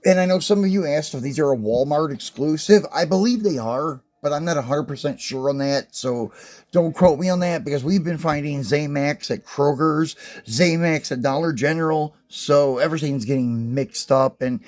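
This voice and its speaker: Slightly hoarse chatty man. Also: on the high side, moderate pace, darkish tone. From West Virginia. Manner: chatty, rambling, folksy. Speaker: die-cast car collector and channel host. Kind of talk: casual hands-on product review.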